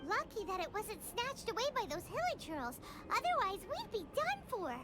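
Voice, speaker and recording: A woman voicing a tiny, childlike fairy companion in a video game, squeaky, bubbly, cheeky and dramatic. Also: sing-song, moderate pace. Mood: excited, worried. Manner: bubbly, dramatic, speaks of herself in third person. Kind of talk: scripted video game dialogue. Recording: loud background music under the voice.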